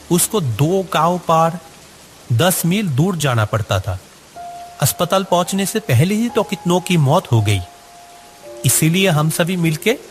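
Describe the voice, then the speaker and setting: A man voicing an earnest, deferential village man in a cartoon story. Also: a little high. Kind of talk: Hindi cartoon story acting.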